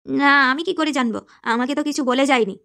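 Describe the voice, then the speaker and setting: A very high-pitched cartoon village wife voiced by a woman, curt and defensive. Her exasperated words tumble out extremely fast over a noisy, clicking track. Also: creaky, a bit bright. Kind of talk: comic cartoon dialogue.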